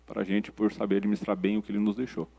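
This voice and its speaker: A Brazilian man with a slightly low voice explains things at a quick pace. He sounds practical and colloquial, like a guest adviser in a casual interview. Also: level tone, creaky, mellow. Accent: Brazilian Portuguese.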